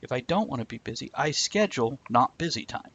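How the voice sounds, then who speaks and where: A man's voice: medium pitch, animated, a little fast, fairly bright tone, husky. A man hosting a live online talk show, chatting casually and rambling a bit with a self-deprecating humor. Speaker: podcast host. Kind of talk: casual chat.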